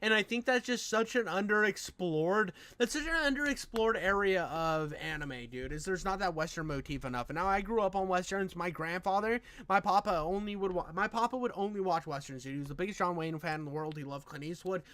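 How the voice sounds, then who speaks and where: Bright, higher-voiced man, effusive and rambling. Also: fairly quick, hardly any pauses. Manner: effusive, rambling, slangy and profane. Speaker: reaction video host. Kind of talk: casual reaction commentary.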